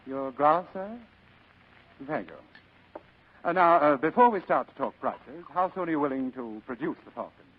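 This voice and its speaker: A sly, fat older gentleman villain in vintage radio style. The voice sits fairly high for a man and swings widely as it savours courtly phrases. The sound is a muffled old broadcast. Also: lively intonation, moderate pace. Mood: genial bargaining. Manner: courtly, leisurely, ornate speech. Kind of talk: 1940s radio acting.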